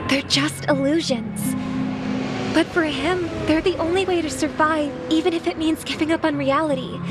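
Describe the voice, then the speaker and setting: Gentle young sister, high pitch, slow thoughtful delivery, game cutscene, loud music behind. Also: female voice. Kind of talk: video game cutscene acting.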